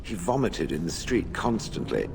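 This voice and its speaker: An actor voicing a stern, disdainful warrior in a video game, terse and deadpan with dry wit. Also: male voice, deep voice, normal speed, vocal fry, noisy background, heavily acted. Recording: music behind.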